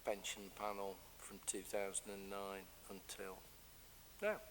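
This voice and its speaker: English man, councillor, low flat voice, matter-of-fact, chamber reverb, faint hiss. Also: measured pace.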